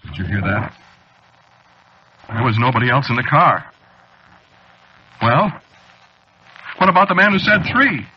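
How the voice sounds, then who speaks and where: An actor playing an anxious, haunted man confiding his obsession haltingly in a 1940s radio drama. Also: medium pitch, expressive, faint music behind, close to an everyday voice. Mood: rising dread. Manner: hesitant, rambling, halting confiding delivery. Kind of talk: 1940s radio drama acting, confessional narration. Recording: dull, noisy old radio recording.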